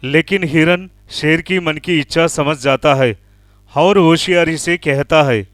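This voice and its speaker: Slow-paced, higher-voiced male storyteller. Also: no room sound, studio quality, soft background music. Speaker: narrator. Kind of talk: scripted children's storytelling.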